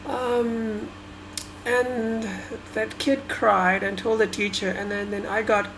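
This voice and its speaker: A woman from Sri Lanka whose first language is Tamil, talking through her thoughts in a halting, hesitant way with many restarts. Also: a little high, little pitch movement, noisy background. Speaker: vlogger. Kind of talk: personal reflective monologue.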